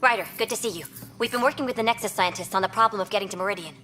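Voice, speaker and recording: A woman's voice: mid-range voice, racing, pushed and bright, a little hiss or hum, natural voice. An actress playing an earnest, supportive woman officer in a sci-fi video game. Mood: businesslike. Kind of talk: naturalistic video game cutscene acting.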